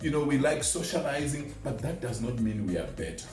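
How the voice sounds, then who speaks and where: A man's voice: mid-range voice, animated, fairly quick. A man giving a conversational motivational talk, illustrating his points with everyday examples. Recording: echoey room, distant mic, music underneath. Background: music.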